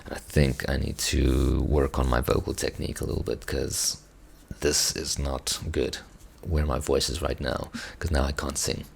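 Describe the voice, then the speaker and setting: A man, an Afrikaans speaker, hoarse from singing, talking through a song reaction in a reflective, hesitant way with many restarts. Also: extremely low pitch, flat delivery, normal speed. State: hoarse, strained voice. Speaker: musician reacting to songs. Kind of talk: casual reaction commentary.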